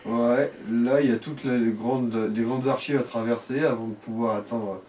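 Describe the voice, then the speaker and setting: A man's voice: mid-range voice, fairly even intonation, normal speed, a little mellow. A man in informal gaming chat, explaining things to the player as a co-commentator, with the odd swear word. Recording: distant mic with faint background noise.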